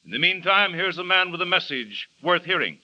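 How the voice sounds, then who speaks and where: A male announcer introduces a radio program in a stately, formal manner. His voice is high and very bright, and the vintage recording is dull. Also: expressive, brisk.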